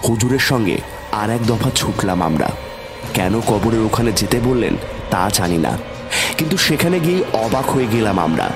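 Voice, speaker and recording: Low-voiced male horror story narrator. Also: expressive, normal speed. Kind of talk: scripted first-person horror story narration. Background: background music.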